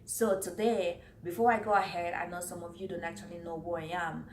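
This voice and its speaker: A woman's voice: fairly low pitch, little pitch movement, a little fast. Woman, vlogger, earnest, repeats herself, echoey room. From Cameroon. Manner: earnest, repeats points, reads from notes. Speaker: vlogger giving business tips. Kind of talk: casual talk to camera. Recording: roomy echo, faint background noise.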